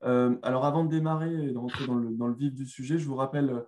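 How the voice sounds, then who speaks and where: A man's voice: medium pitch, fairly even intonation, fast talker, darkish tone. A man hosting and moderating a webinar over a video call, speaking hesitantly with many fillers. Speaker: webinar host.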